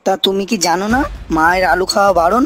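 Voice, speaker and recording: A woman's voice: mid-range voice, fast talker, lightly acted. A woman voicing a dutiful young village husband in a cartoon, questioning and complaining. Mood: nagging, irritated. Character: dutiful, nagging young married village son. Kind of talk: cartoon character dialogue. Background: faint music.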